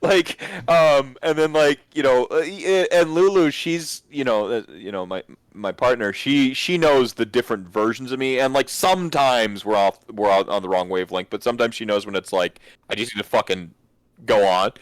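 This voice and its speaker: Profane, animated man on a dry mic. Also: mid-range voice, normal speed, dry recording. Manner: animated, swears freely, acts out quoted voices. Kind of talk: casual hobby stream chat.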